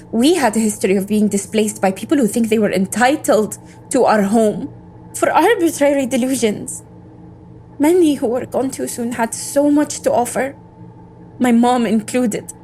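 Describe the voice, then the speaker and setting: A woman's voice: a little high, darkish tone, slight background noise, lightly acted. A woman playing an embittered, defiant agent in an audio drama, with a world-weary, intense, sardonic delivery. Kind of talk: scripted audio drama monologue and dialogue.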